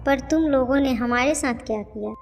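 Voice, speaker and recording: Lady doing old mom in a cartoon drama, tender and wounded, high voice, light music behind. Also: normal speed, gravelly, long reverb tail. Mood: hurt. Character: elderly mother, tender yet hurt by her sons. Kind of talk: Hindi family cartoon dialogue.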